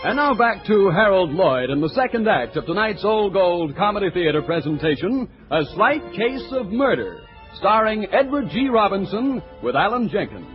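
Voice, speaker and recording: A man's voice: high voice, very animated, normal speed, over music. A man announcing and advertising in 1940s radio style. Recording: dull 1940s radio recording.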